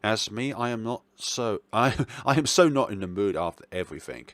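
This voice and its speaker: A man's voice: lowish voice, fairly quick, dry recording. A man hosting a let's play, reading a visual novel aloud expressively with casual commentary of his own. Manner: expressive, acts out dialogue, chuckles and stumbles over words.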